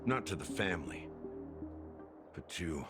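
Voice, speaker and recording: Deep, world-weary officer full of regret. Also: male voice, big pitch swings. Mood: regretful, confessing. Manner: slow, rueful, self-deprecating storyteller. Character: weary, world-worn male officer haunted by guilt and regret. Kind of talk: video game story dialogue. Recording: music behind the voice. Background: game music.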